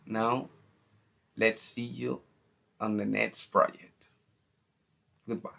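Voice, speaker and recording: A young man from Argentina with an Argentine accent, a student giving a formal final project presentation in measured phrases with pauses. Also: lowish voice, flat delivery, creaky, sharp tone. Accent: Argentine Spanish. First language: Spanish. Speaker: international trade student. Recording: dull lo-fi recording.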